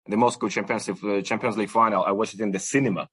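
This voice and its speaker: A man's voice: fairly low pitch, fairly even intonation, racing, fairly bright tone, slightly rough. A young man from Ukraine, chatting as a podcast guest with many fillers and restarts. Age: young adult. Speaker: supporters club guest. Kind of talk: casual podcast interview.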